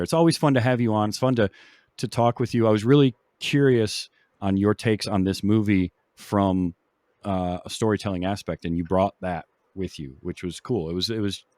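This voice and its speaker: Low-pitched male podcast host, dry sound. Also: darkish tone, no echo. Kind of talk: casual podcast chat and show plugs.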